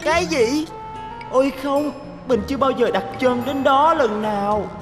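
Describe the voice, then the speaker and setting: A bright little boy bus from a cartoon. He chats along eagerly, showing off a bit, and covers his nerves with bashful laughs. The pitch sits a bit high over steady cartoon music. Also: measured pace. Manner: chatty, bashful, nervous laughs. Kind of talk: Vietnamese cartoon dub.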